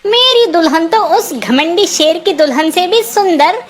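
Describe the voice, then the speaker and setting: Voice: very high-pitched, lively intonation, a little slow. A performer voicing a small, boastful mouse in a children's cartoon story, with a self-important, declamatory delivery.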